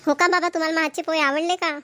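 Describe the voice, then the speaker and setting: A woman's voice: extremely high pitch, steady tone, fast talker, sharp tone. A woman playing a cheerful, dutiful young married daughter in a cartoon, with a rural Maharashtrian Marathi accent and an eager, exclaiming manner. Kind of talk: Marathi cartoon family comedy voice-over.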